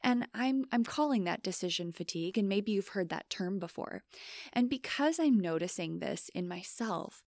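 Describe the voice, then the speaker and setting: A woman's voice: mid-range voice, lively intonation, moderate pace, dry recording, clean studio sound. A woman from the US Midwest hosting a podcast, talking casually with lots of "like" and fillers. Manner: chatty, filler-heavy with frequent "like". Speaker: podcast host. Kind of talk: casual interview chat.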